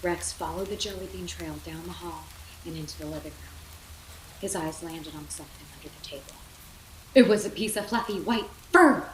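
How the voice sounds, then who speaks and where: A woman reading a children's bedtime story aloud as a mother would, in an expressive, theatrical way. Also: lowish voice, normal speed. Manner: animated, theatrical, with dramatic whispers and asides. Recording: roomy echo, clear background noise.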